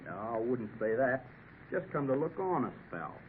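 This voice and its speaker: An actor playing a skeptical sailor who talks in a dry, scoffing drawl. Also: male voice, mid-range voice, big pitch swings, moderate pace, close to an everyday voice. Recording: tinny old radio broadcast with hiss.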